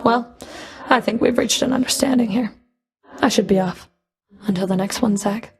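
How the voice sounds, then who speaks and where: Woman acting as female underworld fury, video game character, gravelly creak, cool, sardonic, clipped, commanding. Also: on the high side, moderate pace, close to an everyday voice. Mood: guarded.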